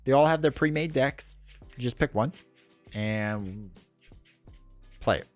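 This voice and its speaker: A man's voice: medium pitch, slow, no room sound, faint music behind. A man in his thirties hosting a casual livestream, rambling and joking at his own expense with lots of filler words. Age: 30s. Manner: rambling, self-deprecating, with frequent "like" and restarts. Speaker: livestream host.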